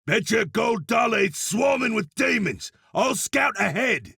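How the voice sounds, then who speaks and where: A man playing a grim, sardonic cowboy blacksmith demon hunter in a video game, a gravelly husky voice and a dry, laconic manner. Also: high voice, sing-song, measured pace, bright, forceful tone, no room sound, raised voice, full character voice. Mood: urgent, shouting.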